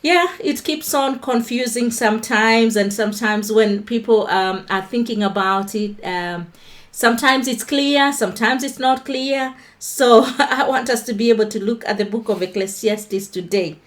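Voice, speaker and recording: A woman's voice: on the high side, normal speed. A Kenyan woman hosting a casual livestream from her phone, greeting viewers by name and moving into a Bible talk. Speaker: livestream host.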